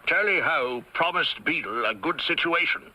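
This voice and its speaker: An actor playing a brisk, confident Victorian Scotland Yard inspector in an old-time radio drama, speaking in formal, emphatic phrases. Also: male voice, on the high side, expressive, sharp tone, exaggerated voice, poor audio. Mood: brisk, assured.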